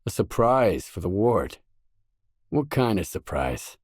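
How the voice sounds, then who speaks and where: A wary stalker from a video game, a blunt loner with a low voice. He talks in terse, matter-of-fact questions and short replies. The recording is dry and close, with no room on it. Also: very animated, slow pace, breathy edge, no room sound, full character voice. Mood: guarded, businesslike. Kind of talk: video game dialogue lines.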